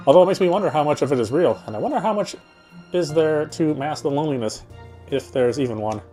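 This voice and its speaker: A man streaming a game, chatting casually and reading its story aloud, often halting and correcting himself. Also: on the high side, expressive, warm tone. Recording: faint game music behind.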